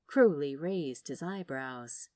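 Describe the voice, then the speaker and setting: A woman narrating a story in a lowish voice that moves expressively up and down. Her reading is scripted, wry and dramatic, taken at an unhurried pace. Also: animated, a little mellow, no echo. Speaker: audiobook-style narrator.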